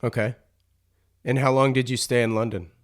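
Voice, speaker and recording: A man hosting a podcast, chatting keenly with a guest over a video call and cutting in with quick affirmations. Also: mid-range voice, fairly even intonation. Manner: enthusiastic, frequent short affirmations. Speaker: podcast host. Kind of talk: casual interview chat.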